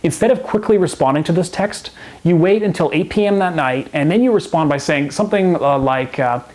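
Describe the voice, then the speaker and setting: A man's voice: on the high side, a little fast, darkish tone. A man speaking as a relationship coach and host, delivering scripted advice straight to the viewer in an instructive way. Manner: instructive, direct address to the viewer. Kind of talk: scripted advice video to camera.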